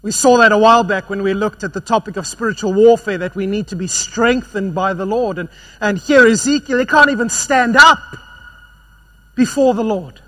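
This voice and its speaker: A man preaching a sermon, swinging between loud emphatic passages and quiet, low ones. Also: moderate pace.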